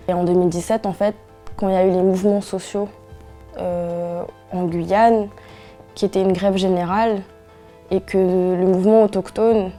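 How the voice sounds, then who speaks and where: Young woman from french guiana talking earnestly, mellow and even, faint music underneath. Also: medium pitch. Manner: earnest, measured, with frequent restarts and hesitations. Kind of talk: interview testimony.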